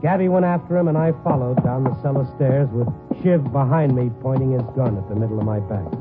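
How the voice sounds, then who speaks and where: An actor playing a wry, steady hard-boiled newspaperman who narrates a 1950s radio noir drama. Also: mid-range voice, fast, loud music behind the voice, lightly acted. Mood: tense, wry narrating. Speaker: narrator and lead character. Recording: narrow-band old 1950s radio broadcast recording.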